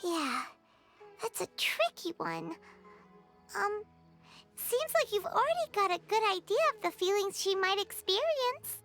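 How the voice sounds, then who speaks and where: Squeaky little game fairy, super chirpy, bounces all over the place. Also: female voice, over music, exaggerated voice. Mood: reassuring. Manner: animated, chirpy, quick to react. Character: tiny floating female fairy companion, chatty and eager. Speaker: companion character in a video game. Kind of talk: video game voice acting.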